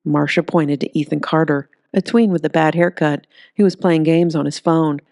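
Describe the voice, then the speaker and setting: A woman reading a story aloud in an expressive, scripted narration style. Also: low voice, moderate pace, no room sound, clean studio sound.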